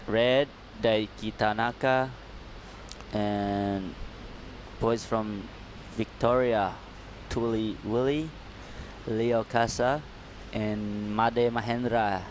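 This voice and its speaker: A man's voice: medium pitch, slight background noise. A man with an Indonesian accent co-commentating live surfing, playful and laughing, with loose, broken phrasing.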